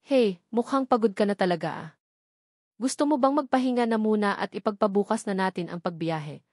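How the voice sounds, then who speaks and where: A woman narrator plays a gentle, wealthy Filipino man in a novel. He speaks tenderly and earnestly, as an attentive suitor would, with a Filipino accent. The sound is close and dry. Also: medium pitch, brisk, no echo, natural voice. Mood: concerned, tender.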